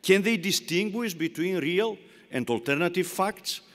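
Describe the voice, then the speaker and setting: A man's voice: sits high, animated, measured pace. Older Greek-accented man in arena reverb. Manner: rhetorical, emphatic, repeats phrases for effect. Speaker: commencement speaker. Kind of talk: formal commencement speech. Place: large arena.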